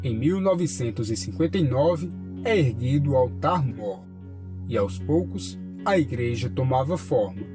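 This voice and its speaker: A man reading a scripted documentary narration in a measured, formal way. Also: mid-range voice, expressive. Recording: voice-over with guitar music behind.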